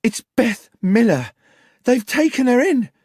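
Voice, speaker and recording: Audiobook young woman, performed by a male narrator: high, shrill, frantic, village messenger in a panic. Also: very animated, unhurried, darkish tone, husky, no echo, clean studio sound, exaggerated voice. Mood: panicked. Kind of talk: audiobook character voice.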